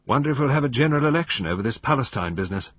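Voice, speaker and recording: A man's voice: medium pitch, lively intonation, very fast, fairly bright tone, no echo, voice pushed up. A man voicing a grumbling old general. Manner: grumbling, blustering. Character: gruff older retired army general. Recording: dull lo-fi recording.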